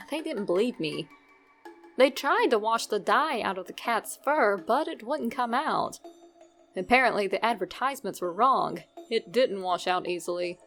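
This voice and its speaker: A woman reading a scripted creepypasta in an expressive storytelling way, with dramatic pauses and drawn-out words. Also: fairly high pitch. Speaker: narrator reading a horror story.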